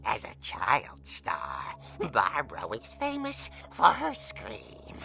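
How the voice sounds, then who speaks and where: An actor playing a gleefully macabre old horror-comic host, telling a tale in a hammy, drawn-out theatrical way in a video game. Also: mid-range voice, huge pitch swings, vocal fry, sharp tone, a little hiss or hum, heavily acted. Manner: hammy, drawn-out dramatic delivery. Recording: music under the voice.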